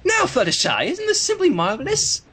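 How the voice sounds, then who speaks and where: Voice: high voice, wildly swooping pitch, fairly quick, fairly bright tone, voice pushed up, heavily acted. A reader voicing a refined, dramatic female cartoon unicorn with a theatrical, affected manner. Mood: gushing. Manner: theatrical, affected, says darling.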